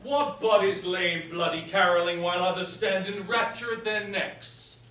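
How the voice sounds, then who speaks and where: A man's voice: high voice, steady tone, normal speed, a bit bright. A man playing a stern, blustering king and father in a staged verse comedy, declaiming. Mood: indignant. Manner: declamatory, indignant. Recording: echoey hall, far mic, faint noise.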